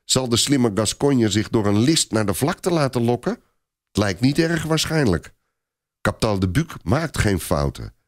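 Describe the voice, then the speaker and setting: Low-voiced man narrating with animated pitch. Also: dry recording, clean studio sound. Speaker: audiobook narrator. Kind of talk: dramatic scripted story narration.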